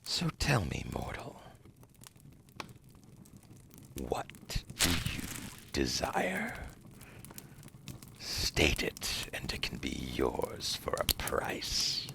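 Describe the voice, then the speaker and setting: A man playing a seductive, cunning devil in an ASMR roleplay, whispering breathily and gravelly in a theatrical, teasing way. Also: very deep voice, wildly swooping pitch, takes it slowly, noisy background, full character voice. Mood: coaxing, teasing. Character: seductive, cunning otherworldly male devil offering tempting deals.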